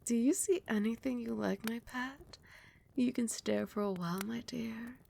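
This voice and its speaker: A woman voicing a teasing, doting queen who dotes on her servant in a sultry, coaxing audio roleplay, at times dropping to a soft whisper. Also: fairly high pitch, moderate pace, creaky, a little hiss or hum. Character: teasing, doting adult queen, dominant mistress.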